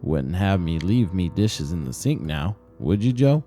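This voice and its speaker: A man voicing a folksy, good-natured middle-aged village housewife in a storybook, with drawn-out delivery. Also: sits low, big pitch swings, mellow, soft background music.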